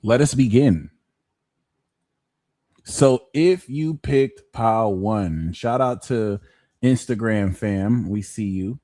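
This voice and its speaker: A man's voice: on the low side, slow, long pauses, warm tone, no echo, studio quality. A man hosting a live pick-a-card tarot reading, talking in a playful, slangy way with jokes in between. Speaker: tarot reader and host.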